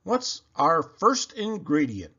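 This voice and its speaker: Man doing a high, excitable cool uncle. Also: husky, highs cut off, full character voice. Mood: enthusiastic. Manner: loud, enthusiastic, drawn-out delivery.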